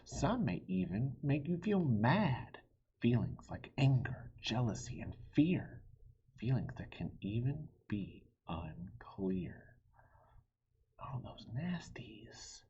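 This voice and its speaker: Male children's book reader: very raspy-breathy, slow and deliberate, theatrical with hushed dips. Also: medium pitch, sing-song, lo-fi sound. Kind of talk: animated read-aloud storytelling for children.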